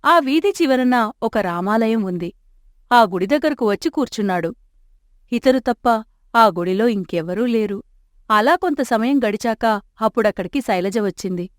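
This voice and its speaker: A woman's voice: a little high, animated, normal speed, no room sound, studio quality. Woman narrating a moral story.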